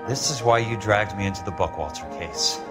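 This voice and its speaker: An actor playing a world-weary war-veteran investigator in a video game, with an American accent and a blunt, hard-boiled manner. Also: male voice, lowish voice, expressive, a little fast, natural voice. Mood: probing. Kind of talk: cinematic video game acting. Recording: loud music behind the voice.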